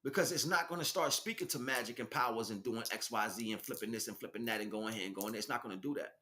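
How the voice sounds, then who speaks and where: A man's voice: medium pitch, quick pace, pushed and bright. A man giving an impromptu spiritual talk as a teacher, speaking emphatically in short, repetitive bursts. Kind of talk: impromptu spiritual monologue, preaching.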